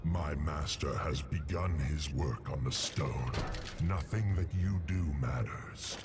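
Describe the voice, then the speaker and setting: An actor voicing a menacing, contemptuous angel commander in a video game, booming out threats. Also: male voice, takes it slowly, over loud music. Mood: wrathful menace. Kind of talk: video game villain acting.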